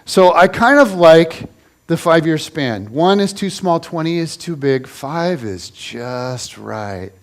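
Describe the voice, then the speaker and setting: A man in his forties preaches in a church in a chatty, joking way. His voice sits fairly high for a man. He often restarts his sentences and teases the congregation as he goes. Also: expressive, slow pace. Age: 40s. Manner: conversational and joking, with many restarts. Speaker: pastor. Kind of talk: casual sermon.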